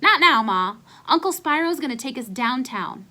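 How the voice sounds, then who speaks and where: Lady reading as a scheming schoolkid, pushy n eager, boy in a storybook, really animated. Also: high voice, normal speed, full character voice. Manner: eager, insistent. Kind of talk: children's storybook read-aloud.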